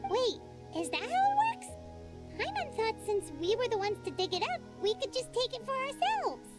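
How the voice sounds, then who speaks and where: A woman voicing a tiny, excitable female fairy companion who eagerly refers to herself in the third person. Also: extremely high pitch, moderate pace. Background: game music.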